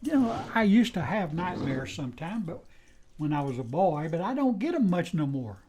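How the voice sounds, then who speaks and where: A man's voice: fairly high pitch, big pitch swings, moderate pace, a little mellow, a little hiss or hum. A man playing an anxious, loyal deputy in an old-time western radio drama, with a folksy American drawl and a stammering, deferential manner. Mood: deferential, chatty.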